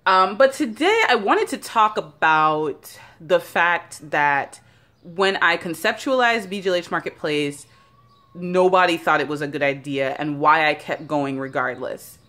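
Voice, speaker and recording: A woman's voice: lowish voice, expressive, a little slow, a bit bright. A woman in her thirties from Jamaica, chatting casually and rambling a little as she films herself on a phone held at arm's length. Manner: chatty and rambling, with many fillers. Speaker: founder of a beauty brand. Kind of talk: casual vlog talk. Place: bathroom by a bright window.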